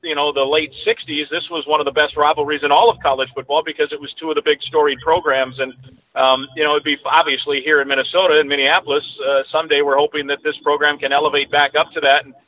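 Higher-voiced man on a phone-like line. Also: flat delivery, fast. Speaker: radio play-by-play announcer as interview guest. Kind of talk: conversational sports analysis. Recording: thin, narrowband phone-like line.

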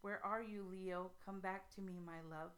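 A woman's voice: mid-range voice, steady tone, unhurried, a bit bright, close to an everyday voice. A distressed young woman from a dramatic novel voiced by a woman reader. She pleads emotionally and wants to get away. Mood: longing. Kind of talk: dramatic prologue reading of young adult novel.

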